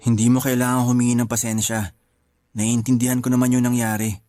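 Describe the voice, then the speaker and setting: A man's voice: mid-range voice, level tone, a little fast, dark tone, faint music behind, natural voice. Man playing a lonely young man in mourning. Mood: sad.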